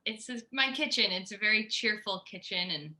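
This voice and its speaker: A woman's voice: medium pitch, lively intonation, moderate pace, bright, forceful tone. A woman hosting a conversational interview in a friendly, attentive manner. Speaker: podcast host, interviewer.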